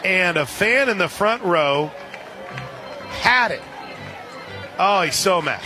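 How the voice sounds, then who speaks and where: Male sports radio announcer, higher-pitched voice, folksy, chatty, live softball commentary, loud stadium crowd. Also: expressive, slow pace, music in the background. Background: crowd noise, outdoor ambience.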